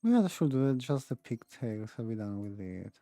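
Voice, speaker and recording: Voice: medium pitch, big pitch swings, normal speed, mellow, dry recording, clean studio sound. A game streamer muttering casually and indecisively to themselves while playing. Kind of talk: casual gameplay commentary.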